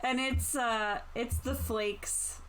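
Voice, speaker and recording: A woman's voice: on the high side, fairly even intonation, slow pace, crowd noise, low-quality recording. A woman chatting casually as a player in game prep, reacting in short, informal remarks. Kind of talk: casual chat during tabletop game prep.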